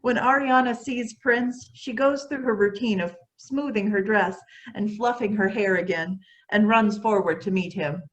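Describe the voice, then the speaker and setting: Woman, average pitch, narrator, scripted reading of stage directions. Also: unhurried, low-quality recording.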